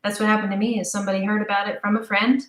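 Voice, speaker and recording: A woman's voice: medium pitch, level tone, racing. Young woman in an echoey room. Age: young adult. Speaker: interview guest, audio drama creator. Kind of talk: casual podcast interview. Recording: roomy, echoey voice.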